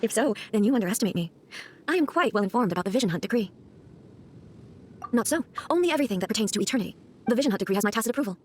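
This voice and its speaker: A woman's voice: fairly high pitch, animated, a little mellow, slight background noise, close to an everyday voice. A woman voicing a cold, solemn female deity in a video game cutscene, formal and commanding. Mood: cold, imperious. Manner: formal, commanding, measured phrasing.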